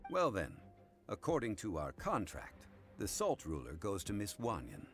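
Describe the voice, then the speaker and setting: An actor playing a calm, dignified male scholar and consultant in an anime game, speaking formally and in a measured way. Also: fairly low pitch. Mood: composed, formal. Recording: music behind the voice.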